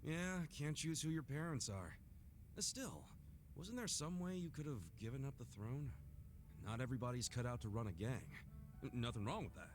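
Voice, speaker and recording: Man playing a brash, animated, friendly drinking buddy. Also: medium pitch, moderate pace, soft background music, lightly acted. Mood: earnest. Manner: blunt, expressive, easygoing. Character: brash, friendly, good-hearted male drinking buddy, blunt and earnest. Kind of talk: English video game voice acting.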